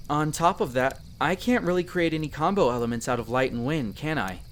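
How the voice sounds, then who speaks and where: A man's voice: fairly high pitch, a little fast, a little hiss or hum, close to an everyday voice. A man playing a friendly young elf explorer in a roleplay audio drama, talking conversationally and earnestly to the listener in a self-deprecating way. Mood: candid, self-deprecating. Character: friendly young male elf explorer, modest half-outsider mage.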